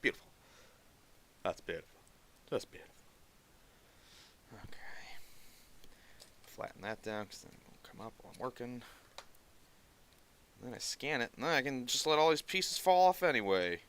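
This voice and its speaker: A man's voice: medium pitch, lively intonation, a bit bright, a little hiss or hum. A man with a nasal voice that sounds sarcastic by default, chatting casually with viewers while he draws on a stream. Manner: rambling, quick asides and mutters while working. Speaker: streaming artist.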